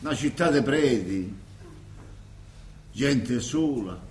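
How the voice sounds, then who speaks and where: A roomy recording with faint noise carries a chatty old servant in a comic radio play. He is naive and meddling, with a Roman accent and lively swings of pitch. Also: takes it slowly. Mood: confiding. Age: older. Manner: naive, indiscreet, insistent. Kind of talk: Italian theatrical radio comedy acting.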